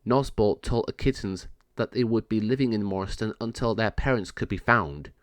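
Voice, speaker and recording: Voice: medium pitch, normal speed, no echo, clean studio sound. A narrator reading a children's story aloud in a scripted read-along style.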